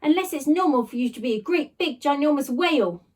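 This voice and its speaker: Storybook young boy, read by a woman: earnest, excitable, high and animated. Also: fairly quick. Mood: exclaiming. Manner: earnest, hesitant, exclamatory.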